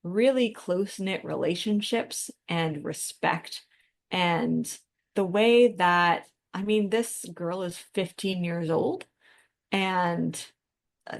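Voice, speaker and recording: A woman's voice: lowish voice, gravelly. A woman co-hosting a podcast, talking in a casual, informal and reflective way. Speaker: podcast co-host.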